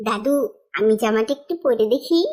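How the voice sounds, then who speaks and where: A woman's voice: a little high, moderate pace, darkish tone, slight background noise, lightly acted. A woman voicing a sweet, devout, kind-hearted little girl in a cartoon story, polite and earnest. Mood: cheerful, polite. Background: background music.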